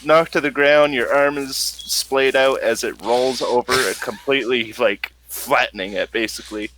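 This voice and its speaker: A man casually narrating a tabletop roleplaying game as game master, halting and thinking aloud in an informal way. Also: medium pitch, fairly even intonation, background noise.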